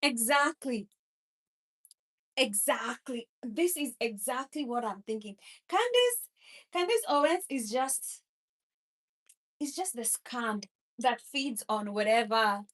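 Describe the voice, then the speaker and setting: A woman's voice: sits high, a bit bright. A young woman from Kenya with a Kenyan accent, hosting a casual political livestream, mocking and laughing as she answers chat. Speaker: livestream host.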